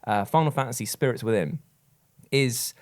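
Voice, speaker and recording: A man's voice: medium pitch, normal speed. A man co-hosting a podcast discussion, talking informally and with opinions, using rhetorical questions and emphatic repetition. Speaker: podcast co-host. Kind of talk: casual opinionated panel discussion.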